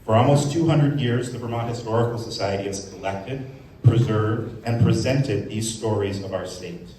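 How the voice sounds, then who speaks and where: A man giving opening remarks to the public as a museum staff speaker, speaking in an informal to measured way. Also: lowish voice, warm tone. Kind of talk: public speech, part off-the-cuff, part scripted. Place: indoors in a museum. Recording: very echoey room, distant mic, faint noise.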